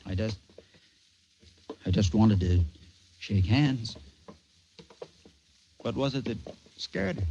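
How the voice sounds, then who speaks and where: An actor playing a proud, commanding, exasperated rocket captain from Earth in an old-time radio drama. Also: male voice, fairly low pitch, expressive, slow, creaky, long pauses, full character voice. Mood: earnest, baffled. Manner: commanding, emphatic. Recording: muffled, noisy old radio recording.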